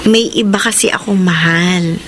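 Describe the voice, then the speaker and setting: A woman's voice: lowish voice, normal speed, a bit bright, lightly acted. An actress playing a humble, wistful young single mother in a Filipino radio drama, with sincere, emotional delivery. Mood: earnest. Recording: noisy radio drama broadcast sound.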